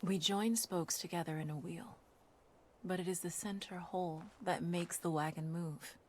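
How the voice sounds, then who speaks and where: A woman narrating as a calm reader of ancient wisdom, speaking deliberately in a scripted game audio log. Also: on the low side, slight background noise, close to an everyday voice. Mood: calm, reflective. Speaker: narrator of recorded quotation in a game.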